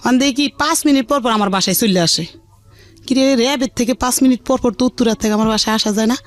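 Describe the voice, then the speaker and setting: A woman's voice: on the high side, fast talker. Middle-aged Bangladeshi woman, colloquial Dhaka accent, music behind. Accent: colloquial Dhaka Bengali. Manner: earthy, unpolished, animated retelling with reported dialogue. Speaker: radio show guest telling her life story. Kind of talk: candid personal storytelling in conversation. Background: background music.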